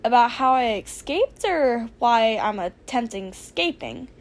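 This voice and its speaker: A woman voicing a sarcastic, dramatic teenage boy hacker in a casual, deadpan way for a fan fiction reading. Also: a little high, unhurried. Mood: exasperated, sarcastic.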